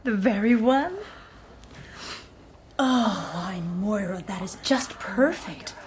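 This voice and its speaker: A woman playing a young high-society socialite in a radio mystery, effusive, grand and imperious. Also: lively intonation, slow. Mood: delighted. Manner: gushing, grand. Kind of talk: period radio mystery drama acting. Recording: noisy, crowd murmur behind.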